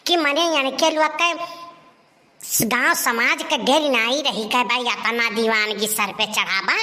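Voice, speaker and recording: A woman plays a scandalized village tattletale from a comedy cartoon. She grumbles in indignant, repetitive complaints. Her delivery is unhurried, with an Awadhi accent. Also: on the high side, pushed and bright. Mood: indignant, scheming.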